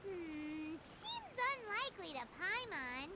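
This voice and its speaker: Tiny fairy sidekick girl, extremely high squeaky voice, excitable, anime game style, loud background noise. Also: slow pace, heavily acted. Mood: curious. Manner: squeaky, speaks of herself in third person. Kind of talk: anime video game voice acting.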